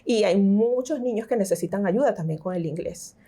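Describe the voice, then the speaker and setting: A middle-aged woman with a Venezuelan accent, a teacher giving an interview and explaining reading and pronunciation with lively examples. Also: medium pitch, brisk, slightly rough. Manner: explanatory, animated, frequent fillers. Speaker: teacher and tutor, interview guest. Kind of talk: conversational interview.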